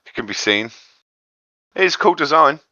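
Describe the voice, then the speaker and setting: A man co-hosting a casual anime discussion, thinking aloud in a halting way. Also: fairly high pitch, expressive, sharp tone, no room sound, dull audio. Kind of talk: casual chat.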